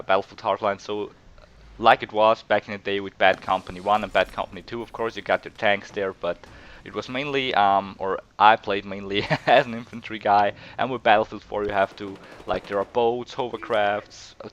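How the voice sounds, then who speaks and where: Sick Austrian man with a low voice. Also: a bit bright, a little hiss or hum. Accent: Austrian German. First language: German. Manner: hesitant, rambling, many fillers and restarts. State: sick with a cold. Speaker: gaming channel host. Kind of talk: casual gameplay commentary.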